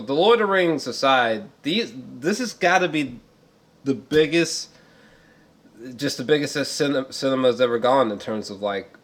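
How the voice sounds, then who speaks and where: Rambling man giving a casual movie reaction. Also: medium pitch. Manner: rambling, frequent throat clearing and repeated words; profane, slangy, emphatic. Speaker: film reviewer.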